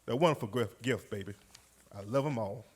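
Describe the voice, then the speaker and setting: An actor playing a playful, loving working-class husband in a live radio play. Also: male voice, lowish voice, brisk, husky. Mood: sheepish, tender. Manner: exuberant, folksy. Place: live stage in a recreation center.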